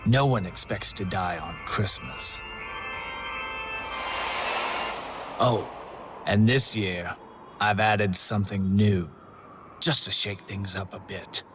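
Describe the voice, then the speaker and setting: An actor playing a suave, gleefully morbid contest master, briefing players in a video game with deliberate, silky menace. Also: male voice, low-pitched, steady tone. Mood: gleeful malice. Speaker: contest host. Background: music.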